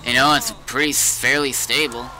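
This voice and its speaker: A man's voice: medium pitch, steady tone, fairly quick, bright, forceful tone, a little hiss or hum, poor audio. A man chatting casually with friends during an online roleplay game. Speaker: roleplay gamer.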